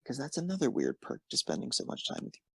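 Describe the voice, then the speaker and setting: A man's voice: medium pitch, wildly swooping pitch, fast talker, gravelly, fairly bright tone, slightly rough, no room sound, clean studio sound. A man reading scripted fan fiction narration as the narrator of an amateur story.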